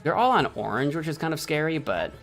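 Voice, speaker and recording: A man's voice: on the high side, sing-song, fast, fairly bright tone, soft background music. An American man casually thinking aloud while playing a game on stream, rambling, correcting himself and bantering with chat in a mock-indignant way. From United States. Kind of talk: casual gameplay commentary.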